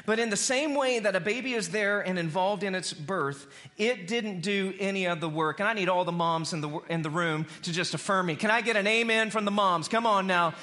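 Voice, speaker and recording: A man preaching a conversational sermon as an animated storyteller, repeating phrases for emphasis. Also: high voice, fairly quick, hardly any pauses. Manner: animated storyteller who acts out dialogue in slang.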